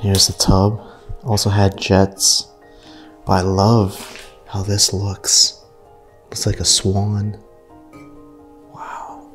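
A man casually narrating as he walks through an abandoned mansion, easily impressed and full of fillers, recorded on a camera mic indoors. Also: lowish voice. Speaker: urban explorer vlogger.

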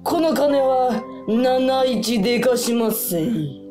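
A woman's voice: fairly high pitch, animated, slow pace. Woman as pompous girl moneylender, old Kansai drawl. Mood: pompous, commanding. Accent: old-fashioned Kansai. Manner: pompous, drawling merchant talk. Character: girl posing as canny old money-lending tycoon. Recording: music bed behind the voice.

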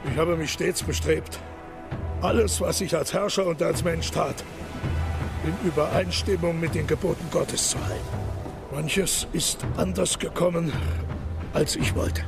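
A creaky, pompous Kaiser. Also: male voice, on the high side, steady tone, a little slow, pauses often, music in the background. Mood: solemn, self-righteous. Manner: declamatory, pompous. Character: German Kaiser, solemn, self-righteous, imperious monarch. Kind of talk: radio drama acting.

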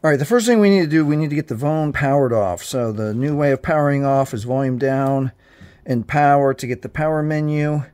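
A man's voice: on the high side, a little fast, a little mellow. A man hosting a tech tutorial, talking the viewer step by step through resetting a phone in a direct, repetitive way. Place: indoors at a table, phone held to camera.

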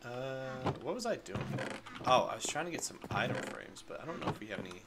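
A man's voice: medium pitch, sing-song, brisk, heavy background noise. A congested man rambling through casual, unedited gameplay commentary and talking to his chat. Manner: rambling, chatty, addresses chat as guys. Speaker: gaming creator.

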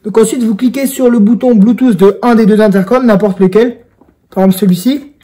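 Young guy, pretty high voice, casual how-to vlog talking right to viewers. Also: fairly quick, warm tone. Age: young adult. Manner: informal, addressing viewers directly. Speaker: video creator and presenter. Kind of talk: casual product tutorial. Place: indoors in a home room.

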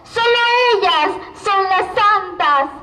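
A young female student reciting poetry in a dramatic, emphatic, drawn-out declamation style. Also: very high-pitched, bright, forceful tone. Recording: echoey venue.

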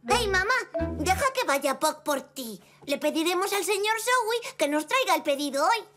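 A performer voicing an excited, curious little zebra child in a children's cartoon dub, with an eager, bubbly manner. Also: very high-pitched, normal speed, heavily acted. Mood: eager, reassuring. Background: children's music.

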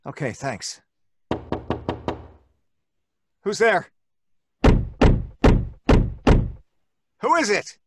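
An actor playing an anxious, agitated husband in an old-time radio drama, urgent and halting. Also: male voice, sits high, huge pitch swings, very noisy. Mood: tense. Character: anxious, agitated husband searching for his missing wife. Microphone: studio microphone in a padded booth.

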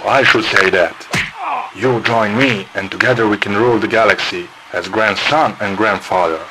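A man's voice: on the low side, moderate pace, background noise, close to an everyday voice. A man playing a defiant, petulant young masked villain in a cartoon parody. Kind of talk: cartoon parody character acting.

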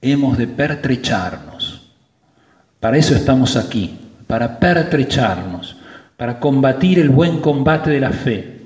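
Slow-preaching priest in an echoing church. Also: male voice, medium pitch, expressive, a little mellow. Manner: slow, emphatic preaching with rising stresses. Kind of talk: sermon at Mass. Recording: roomy church with long reverb.

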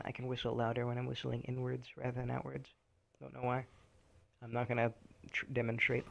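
A young man chatting casually over gameplay, rambling and self-deprecating, with a low, slightly raspy voice he keeps quiet on purpose. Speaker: gaming commentator. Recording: game music underneath.

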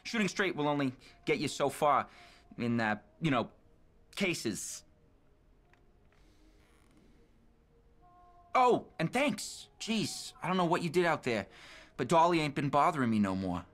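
An actor playing an earnest, awkward young small-town deputy in a video game, with an American accent and a halting, plain-spoken manner. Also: male voice, fairly high pitch, sing-song, fairly bright tone. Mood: awkward, grateful.

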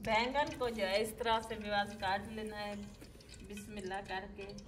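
A woman's voice: medium pitch, fairly even intonation, moderate pace, background noise. A middle-aged woman, explaining a recipe step by step in a homely, matter-of-fact way as she cooks. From Pakistan. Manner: homely, matter-of-fact instructions with frequent fillers. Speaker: village cooking vlogger. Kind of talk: step-by-step cooking narration. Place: outdoor courtyard with brick walls.

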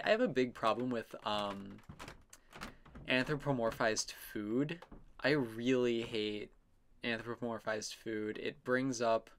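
Slow-paced man, casual art commentary. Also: mid-range voice, fairly bright tone, slight background noise. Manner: rambling, self-amused, trailing musings. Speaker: illustrator narrating own drawing process.

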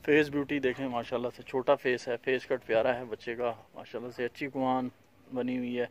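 A man's voice: mid-range voice, level tone, brisk. Farm owner, male, casual outdoor vlog walkthrough, plain and repetitive delivery, mid-range voice. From Pakistan. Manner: plain, repetitive pointing out of each animal's features. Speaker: cattle farm owner showing animals for sale. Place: outdoor dusty farmyard.

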